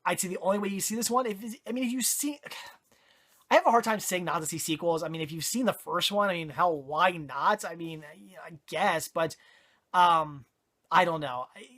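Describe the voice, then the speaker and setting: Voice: mid-range voice, fairly bright tone. A horror movie reviewer talks through a casual video review while losing their voice. They ramble and double back with plenty of ums, restarts and corrections. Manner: rambling, self-correcting, many fillers. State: hoarse, voice giving out.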